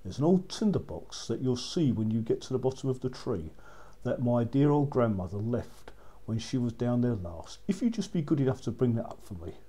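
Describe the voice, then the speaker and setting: A man's voice: medium pitch, very animated, moderate pace, mellow. A man voicing a scheming, bossy old witch in a children's story. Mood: coaxing.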